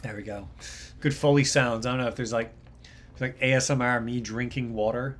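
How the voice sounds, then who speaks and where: A man's voice: medium pitch, fairly even intonation, fairly quick. A young man hosting a live mixing stream, chatting casually and rambling with lots of fillers and repeated words. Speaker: livestream host, mixing engineer. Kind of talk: casual livestream talk.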